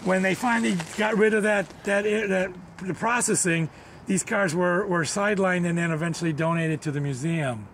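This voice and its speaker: A man's voice: high-pitched, normal speed, fairly bright tone. A middle-aged man guiding visitors through an outdoor rail yard, explaining old railcars in a knowledgeable, matter-of-fact way. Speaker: museum tour guide. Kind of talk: explaining railcars on a walking tour. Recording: outdoor noise.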